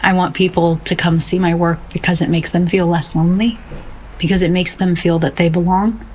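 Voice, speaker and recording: A woman acting an intense female painter, slightly low voice, wry, self-doubting, earnest, audio drama. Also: steady tone, a little fast, darkish tone, a little hiss or hum, lightly acted. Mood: earnest, melancholy.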